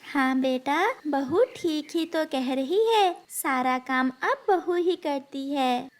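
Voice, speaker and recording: A woman's voice: high voice, slow pace. A woman voicing a tender, self-sacrificing mother bird devoted to her son in a cartoon story. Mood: forgiving. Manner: tender, forgiving, blessing.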